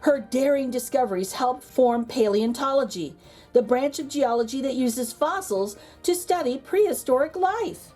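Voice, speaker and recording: High female voice, children's storytime narration, highly varied intonation, dramatic emphasis, theatrical pauses, faint background music. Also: lively intonation, dark tone. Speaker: children's read-aloud host. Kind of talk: expressive children's picture book narration.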